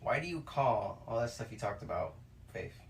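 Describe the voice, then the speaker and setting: A man's voice: medium pitch, animated, normal speed. A man in a casual discussion who thinks aloud and questions the others' wording. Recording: echoey room, faint noise.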